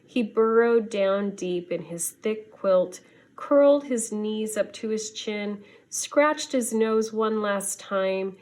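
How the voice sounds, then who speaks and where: A woman reading a children's story aloud in an expressive, deliberate teacher's manner. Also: mid-range voice. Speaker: schoolteacher reading to students.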